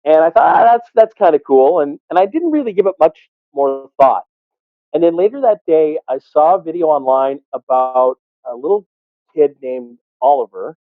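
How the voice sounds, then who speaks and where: A man telling stories conversationally as an interview guest, often halting and repeating words. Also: a little high, expressive. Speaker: interview guest, sports arena PA announcer. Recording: roomy, thin phone-like call audio.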